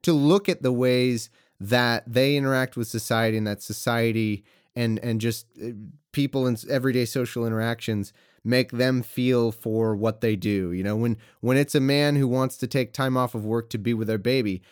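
A male podcast host sounds supportive and rambles as he draws out his guest. His voice sits at a middle pitch. The recording is dry and close. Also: moderate pace, clean tone, no echo. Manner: supportive, gives frequent backchannel replies, rambling. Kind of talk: podcast conversation.

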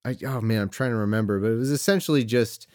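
A man's voice: mid-range voice, fast talker, a little mellow, no room sound, studio quality. A man hosting a podcast conversation who backs up his guest with frequent replies and talks in a rambling way. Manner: supportive, gives frequent backchannel replies, rambling.

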